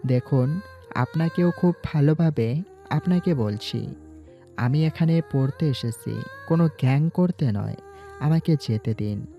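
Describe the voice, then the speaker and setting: Male actor as calm young student, guarded and polite; muffled lo-fi sound, faint music. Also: fairly high pitch, unhurried. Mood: firm, polite. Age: young adult. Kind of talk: Bengali audio story acting.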